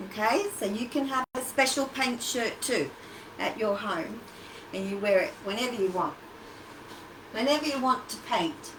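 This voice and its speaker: An Australian-accented woman hosting a casual children's craft livestream, chatty and encouraging as she talks directly to the kids. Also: fairly high pitch. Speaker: children's storytime and craft host. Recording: echoey, noisy livestream from a distance.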